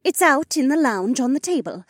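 A woman playing a sweet-voiced, brisk and teasing phoenix wife in an audiobook. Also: sits high, big pitch swings, no room sound, clean studio sound. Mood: chatty, teasing. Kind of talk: audiobook character dialogue.

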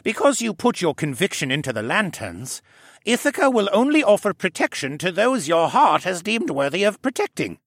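A man voicing a proud, fussy koala gentleman with a monocle, speaking in a prim, lecturing way. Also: high-pitched, sing-song, normal speed, breathy edge, no echo, clean studio sound, pitched above the performer's own voice, exaggerated voice. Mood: explaining.

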